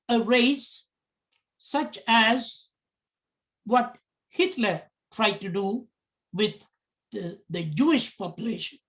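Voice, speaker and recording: A middle-aged man from Sri Lanka with a Sri Lankan accent, giving a prepared public talk in slow, deliberate phrases. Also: sits high, fairly bright tone. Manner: slow, deliberate, measured phrasing in short pieces. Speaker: commentator.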